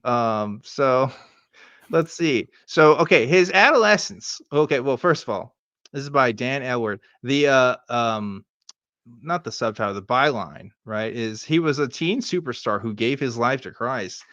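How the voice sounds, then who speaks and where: A man's voice: medium pitch, lively intonation, unhurried, a bit bright, dry recording, highs cut off. A middle-aged American man hosting a casual show, reading aloud and riffing with sardonic, profane asides. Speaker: podcast host.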